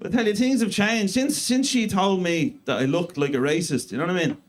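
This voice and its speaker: A man in his thirties with an Irish accent, doing a deadpan, self-mocking stand-up set in a comedy club. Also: sits high, a little fast. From Ireland. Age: 30s. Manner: deadpan self-mocking delivery with crude punchlines. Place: comedy club stage before a live audience.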